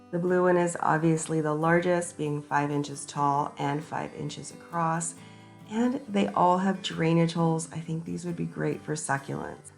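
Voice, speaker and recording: A woman narrating a casual shopping vlog, hoarse and raspy from a sinus infection, chatty and enthusiastic as she talks to her viewers. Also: low-pitched. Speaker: shopping vlogger. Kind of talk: casual shop-with-me voice-over.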